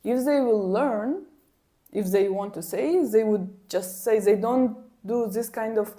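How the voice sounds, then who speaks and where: Slow-talking woman. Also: on the high side. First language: Kyrgyz. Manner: animated and exasperated, repeats words for emphasis. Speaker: language vlogger. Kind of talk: casual personal storytelling to camera.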